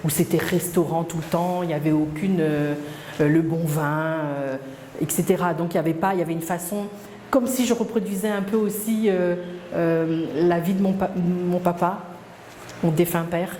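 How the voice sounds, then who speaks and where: Middle-aged woman, fairly low mellow voice, hesitant and reflective, echoey room with a long reverb tail. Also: steady tone, normal speed, a little hiss or hum. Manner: hesitant and reflective, many fillers and restarts. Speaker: interviewee. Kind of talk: personal testimony interview.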